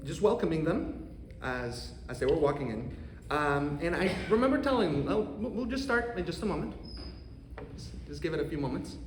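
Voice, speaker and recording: A man in his thirties from Costa Rica with a Costa Rican accent, an economics professor giving an earnest, measured prepared talk to a congregation. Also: fairly high pitch, a little hiss or hum. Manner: earnest, measured, with occasional restarts. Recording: roomy hall reverb, faint ambience.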